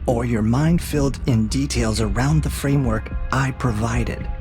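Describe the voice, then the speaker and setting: An actor playing a cold, calculating interrogator AI in an audio drama, with a measured, probing and quietly menacing manner. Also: male voice, mid-range voice, music in the background, natural voice. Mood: coolly probing. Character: cold, calculating male interrogator AI breaking a prisoner. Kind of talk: scripted audio drama acting.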